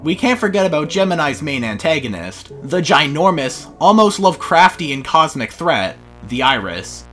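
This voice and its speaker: A man's voice: a little high, sing-song, normal speed, few pauses, bright, forceful tone, faint music behind. A man narrating a scripted video essay in an informal, opinionated way.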